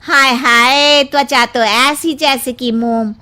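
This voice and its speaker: Woman playing a bright, high-voiced, wistful cartoon housemaid. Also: steady tone, slow pace, exaggerated voice. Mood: gushing admiration. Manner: gushing, plaintive. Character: wistful, flattering housemaid who longs to be beautiful. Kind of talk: cartoon family story acting.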